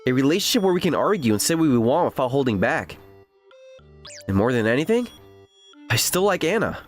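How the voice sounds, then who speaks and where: The earnest, teasing young boyfriend of a romcom manga dub, played by a man, his animated pitch leaping about over light background music. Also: fairly quick, natural voice. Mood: reflective. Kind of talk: dramatized romantic comedy dialogue and inner narration.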